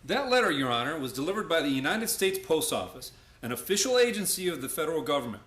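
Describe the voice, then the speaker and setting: A man as an insistent, animated defense lawyer. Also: on the high side, quick pace, reverberant. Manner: formal, insistent. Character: confident, persistent male defense lawyer. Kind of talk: radio play courtroom acting.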